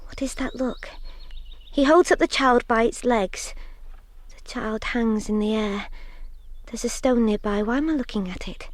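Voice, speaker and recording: An actress playing a stunned young woman in a radio drama, recounting a horror in short, hushed, halting phrases. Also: on the high side, no echo, lightly acted. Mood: dazed, hushed.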